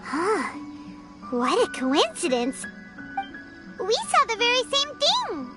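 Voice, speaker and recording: Small floating creature, squeaky, bouncy, drawn-out delivery. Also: female voice, wildly swooping pitch, full character voice. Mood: puzzled. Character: tiny excitable floating companion creature. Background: game soundtrack music.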